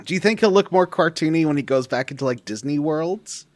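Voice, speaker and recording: A wry man chatting on a gaming podcast, his pitch rising and falling a lot. The sound is dry and close, and he often trails off mid-thought. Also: expressive, brisk, dry recording. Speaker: podcast co-host. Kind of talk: casual chat.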